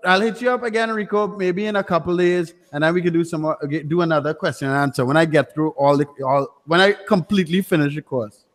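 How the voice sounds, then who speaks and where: A man's voice: a little high, fast. A man from Trinidad hosting a casual livestream chat, joking and swearing freely. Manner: profane, joking banter. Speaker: YouTube host. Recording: reverberant, hall-like echo.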